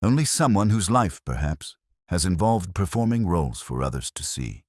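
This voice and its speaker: A man playing a calm, shrewd police inspector in a mystery story, speaking in a measured, formal, cryptic way. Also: sits low, no echo, studio quality. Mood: grave, knowing.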